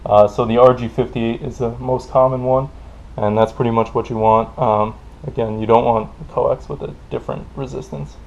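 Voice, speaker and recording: A man's voice: medium pitch, steady tone, normal speed, vocal fry, a little mellow. A man giving an informal technical explainer in a plain-spoken, loosely improvised way, as a tutorial presenter. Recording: dull, lo-fi voice recording.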